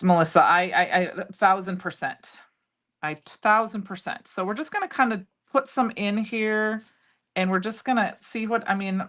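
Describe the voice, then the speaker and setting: A middle-aged woman with a loud voice, chatting and rambling as she demonstrates a craft project live and answers viewers by name. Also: medium pitch. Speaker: crafting livestream host. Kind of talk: casual chat while demonstrating crafts.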